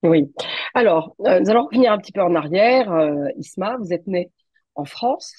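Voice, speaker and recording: A woman hosting an interview show, speaking in a measured, polite way with some fillers and restarts. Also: on the low side, lively intonation, a little mellow, slightly rough, no echo, lo-fi sound. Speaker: show host.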